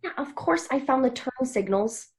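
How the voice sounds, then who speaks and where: Breezy, teasing actress as a young woman, lively pitch. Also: normal speed, slightly rough, heavily acted. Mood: mildly exasperated. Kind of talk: table read of television drama. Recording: video call table read.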